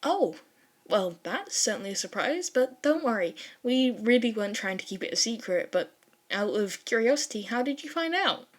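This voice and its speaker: A woman voicing a polite, excitable teenage boy who rambles apologetically and gets easily flustered in an audio fan story. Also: fairly high pitch, normal speed. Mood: bright, curious.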